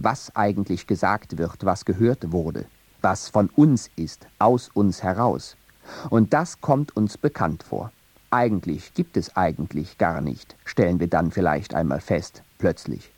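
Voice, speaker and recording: A man narrating a scripted radio essay in a measured, reflective and earnest way. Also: lowish voice, darkish tone, no echo.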